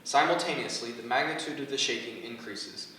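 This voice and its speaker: A man's voice: mid-range voice, fairly quick, bright, forceful tone. A man reading stage directions as scripted narration for a staged play reading. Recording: very echoey room, distant mic, faint noise.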